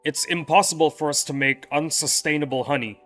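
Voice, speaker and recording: A man's voice: a little high, fairly quick, pushed and bright. A young man chatting loosely with viewers during a game livestream, often restarting his sentences. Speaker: game streamer. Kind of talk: casual livestream chat with viewers. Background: faint background music.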